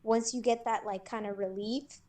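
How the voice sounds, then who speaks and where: A woman's voice: fairly high pitch, steady tone, moderate pace, darkish tone. A woman co-hosting a podcast, chatting casually in an informal, slangy and supportive way. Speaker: podcast co-host. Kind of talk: casual chat.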